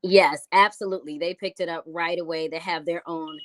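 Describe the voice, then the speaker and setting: Low-voiced woman, halting and rambling. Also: fairly even intonation. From New York City. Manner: rambling, hesitant with many fillers. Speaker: music artist guest. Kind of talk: casual interview chat.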